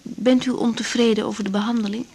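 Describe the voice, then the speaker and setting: An actress playing a young, serious, conscientious doctor in a radio drama, speaking carefully and hesitantly. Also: female voice, fairly high pitch, fairly even intonation, fast, darkish tone, slight background noise, close to an everyday voice. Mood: careful, probing. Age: young adult. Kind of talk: 1980s Dutch radio drama acting.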